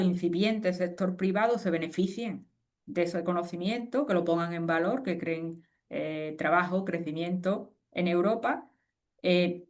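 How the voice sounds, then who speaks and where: A woman, a guest expert speaking in a conversational webinar interview, animated and explanatory with frequent fillers and restarts. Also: on the low side. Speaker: guest expert on fusion energy.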